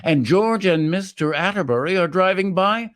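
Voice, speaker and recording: A man's voice: sits high, moderate pace, fairly bright tone, dry recording, studio quality. A man narrating as the announcer of a re-created 1940s radio comedy in a scripted, old-time style.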